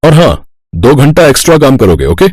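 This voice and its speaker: A man's voice: medium pitch, very animated, a little fast, a little mellow, breathy edge, dry recording, clean studio sound. A man playing a stern, fair-minded boss in a family cartoon, authoritative and scolding. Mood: commanding.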